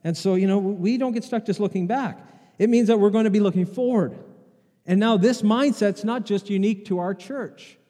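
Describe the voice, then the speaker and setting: High-voiced male pastor preaching in a church. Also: a little fast, warm tone. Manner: conversational preaching, rhetorical questions to the congregation. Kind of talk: sermon. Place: church sanctuary. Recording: long hall reverb in a church.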